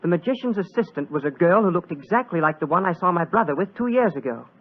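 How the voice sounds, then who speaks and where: A man's voice: high-pitched, lively intonation, fast talker. An actor playing an earnest, deferential young British government man in a 1940s radio drama, polite and eager. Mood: earnest, hesitant. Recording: old muffled 1940s radio recording.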